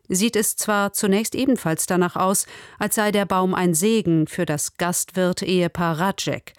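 Dry close studio sound, lower-pitched woman, formal radio presenter, measured scripted narration. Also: no room sound. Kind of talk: scripted narration, literary introduction.

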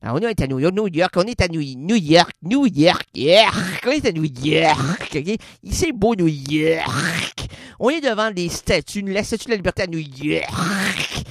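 A man's voice: on the high side, animated, measured pace, dry recording. A man with a Québécois French accent doing a rambling, playful comic radio monologue. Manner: playful, rambling, swings between loud and quiet.

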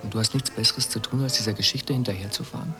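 An actor in a radio drama playing a skeptical, needling man who speaks in a measured, ironic way. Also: fairly low pitch, music in the background, lightly acted.

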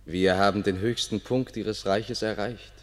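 An actor playing a solemn, deferential guide to a ruler in a German radio play, speaking in a measured, formal way. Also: male voice, lowish voice, fairly even intonation, natural voice. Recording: radio play with long reverb.

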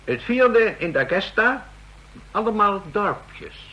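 A man's voice: high-pitched, sing-song, takes it slowly, bright, forceful tone, heavily acted, poor audio. An actor playing an insistent male counterfeiting expert explaining his case to officers in a radio drama.

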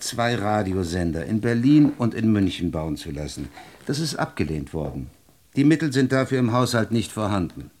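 An actor playing a determined state secretary of 1920s Berlin in a German radio drama, formal and persuasive. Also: male voice, on the low side, darkish tone, slight background noise.

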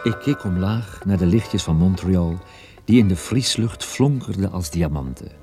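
A man acting a brooding private detective who narrates a radio drama in a sombre, measured, husky voice. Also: low-pitched, lively intonation, mellow, soft background music. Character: brooding, world-weary male private detective narrating his story. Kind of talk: first-person scripted radio drama narration.

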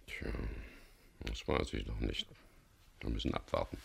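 An actor playing a gruff, wary skipper who tells his story tersely in a radio crime drama. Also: extremely low pitch, animated, unhurried, exaggerated voice. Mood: calm, matter-of-fact. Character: wary, gruff boat skipper, man, narrating his adventure.

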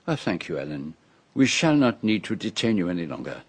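An actor playing a shrewd, self-assured Belgian detective in a radio drama, with a French-speaking Belgian accent and a deliberate, theatrical manner. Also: male voice, low-pitched, very animated, slightly rough, no echo, full character voice. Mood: confident, amused. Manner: deliberate, theatrical, peppers speech with French phrases.

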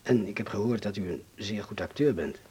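An actor playing a courteous, grave man in hiding in a Dutch radio drama, speaking formally and deliberately with a Netherlands Dutch accent. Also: on the low side, mellow, slightly rough. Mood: delicate, persuasive.

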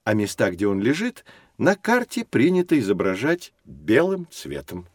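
An actor playing a patient, learned science teacher who explains things to children in a measured, didactic way for an educational audio drama. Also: male voice, fairly low pitch, huge pitch swings, no echo, clean studio sound. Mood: patient, explanatory.

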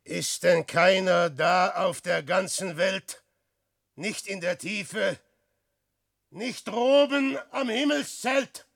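An actor in a classic German radio play, playing an aging, despairing wanderer who speaks slow, declamatory verse. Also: male voice, high voice, creaky, dry recording, studio quality, full character voice. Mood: lamenting. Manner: slow, declamatory verse, swinging from loud outbursts to hushed murmurs. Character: Peer, an aging despairing wanderer facing death.

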